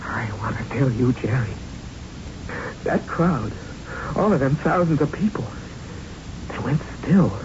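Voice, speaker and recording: An actor in a 1970s radio drama playing a tough, haunted young boxer who tells his story in a halting, intense, streetwise way. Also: mid-range voice, lively intonation, close to an everyday voice. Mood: intense, defiant. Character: haunted young male boxer, tough, plain-spoken, shaken. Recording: muffled, noisy 1970s radio recording.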